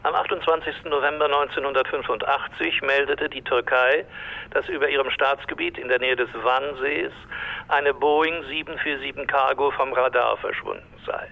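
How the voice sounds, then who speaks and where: An actor playing an insistent caller reading from a newspaper over the telephone. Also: male voice, mid-range voice, fairly even intonation, normal speed, gravelly. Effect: telephone filter. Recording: tinny phone line.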